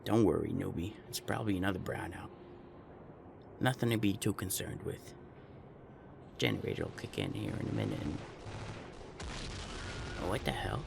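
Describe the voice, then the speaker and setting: A rough-edged gang member acted by a man in an audio drama, speaking low with a thick rasping creak. He is blunt and streetwise, talking like an old hand to a recruit over loud background noise. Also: sing-song, normal speed, pauses often, heavily acted. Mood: urgent. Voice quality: gravelly. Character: brash, foul-mouthed gang member mentoring a recruit. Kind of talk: audio roleplay acting.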